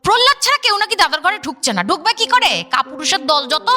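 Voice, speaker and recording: A very high, bright-voiced ghost aunt in a radio drama. She teases and scolds in earthy colloquial speech, swinging up and down over faint music. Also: very animated, a little fast. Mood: mocking, indignant. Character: sharp-tongued, teasing ghost aunt haunting the family house. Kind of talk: Bengali radio drama acting.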